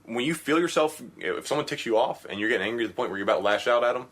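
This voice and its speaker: A man's voice: mid-range voice, animated, very fast, a bit bright. A man co-hosting a podcast, giving friendly advice in casual chat and dropping in lots of "you know". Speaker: podcast co-host.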